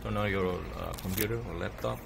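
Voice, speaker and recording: Low-voiced man explaining a laptop fix. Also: a little fast, creaky, noisy background. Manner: plain, hesitant, with fillers and restarts. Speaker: tech channel host. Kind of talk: casual how-to tutorial.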